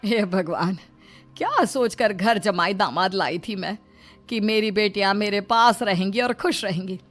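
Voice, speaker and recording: A woman's voice: mid-range voice, measured pace, soft background music, natural voice. A woman playing an exasperated, scheming, bossy middle-aged mother-in-law who complains, in a cartoon story.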